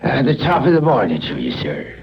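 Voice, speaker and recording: An actor playing an affable, talkative Irish junk shop keeper in a 1950s radio drama. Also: male voice, mid-range voice, wildly swooping pitch, brisk. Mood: genial. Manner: genial, roundabout, talkative. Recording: muffled old radio recording.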